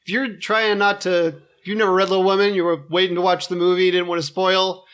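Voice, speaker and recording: A man's voice: sits high, little pitch movement, quick pace, fairly bright tone, clean tone. A man co-hosting a podcast, making casual joking remarks. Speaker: podcast co-host. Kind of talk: casual banter.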